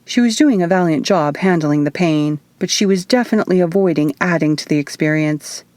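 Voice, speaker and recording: Lower-voiced woman narrating fiction. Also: brisk, darkish tone, no room sound. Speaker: author reading own audiobook. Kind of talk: scripted first-person fiction narration.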